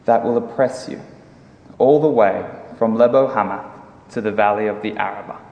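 A man giving a solemn, declamatory dramatic reading of scripture in deliberate phrases. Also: on the low side, lo-fi sound. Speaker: Bible reader in a dramatic reading. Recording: echoey hall with long reverb.

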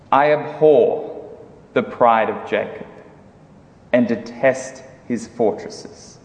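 In a big reverberant hall, a man reads scripture aloud as drama. His delivery is slow and grave, with deliberate, declamatory phrasing and a middling pitch. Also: darkish tone. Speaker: Bible reader in a dramatic reading. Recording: echoey hall with long reverb.

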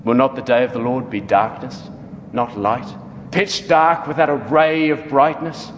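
A man's voice: mid-range voice, steady tone, unhurried, a little hiss or hum, close to an everyday voice. A man performing a dramatic scripture reading as a stern, wrathful God speaking through a prophet, solemn, declamatory and emphatic. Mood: stern, condemning. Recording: echoey hall with long reverb.

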